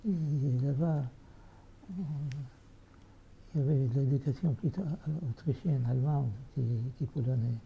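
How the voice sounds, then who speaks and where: Gravelly older man, halting testimony. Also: medium pitch. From Silesia, Poland. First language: Yiddish. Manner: halting, many fillers and restarts. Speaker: witness giving testimony. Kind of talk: hesitant spoken recollection. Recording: dull, slightly noisy recording.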